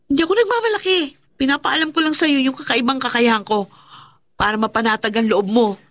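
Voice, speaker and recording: A woman playing a defiant, vengeful young woman with dark powers in a Filipino radio horror drama, with a Filipino accent. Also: high-pitched, quick pace, no room sound, clean studio sound. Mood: determined. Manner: intense, defiant.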